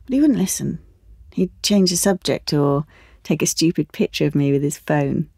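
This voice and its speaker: Confiding young British woman, low and creaky. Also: moderate pace, darkish tone, no echo, clean studio sound, full character voice. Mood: nostalgic. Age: young adult. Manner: confiding, intimate storytelling. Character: candid young woman recalling a teenage romance. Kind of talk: audio play monologue with dialogue.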